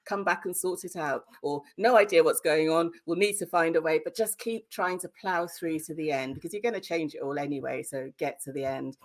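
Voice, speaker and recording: A woman with a low-pitched British voice talks candidly as an interview guest. She is emphatic and conversational, handing out advice in plain, slightly sweary language. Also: fairly quick, few pauses. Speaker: debut novelist, podcast guest. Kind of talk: conversational interview answers, giving writing advice.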